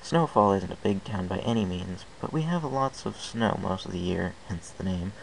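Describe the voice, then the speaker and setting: A man narrates a first-person story with an earnest, unpolished delivery. His voice is very deep and carries a gravelly fry. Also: expressive, normal speed, slight background noise, rough recording. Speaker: fan fiction reader.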